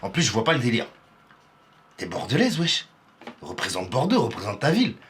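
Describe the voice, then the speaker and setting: Animated teasing man reacting live. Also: medium pitch, a little fast, slight background noise. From Paris, France. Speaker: streamer reacting to a rap battle.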